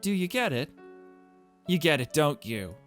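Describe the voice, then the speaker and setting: A man's voice: on the high side, sing-song, a little slow, fairly bright tone, voice pushed up. Guy doing a demure lovestruck anime game character, polite but intense, coy delivery, bit of music behind.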